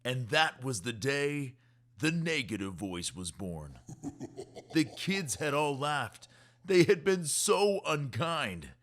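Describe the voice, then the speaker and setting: A man reading a rhyming children's story aloud in an expressive, measured storytelling way. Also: mid-range voice. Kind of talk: rhyming scripted narration for kids.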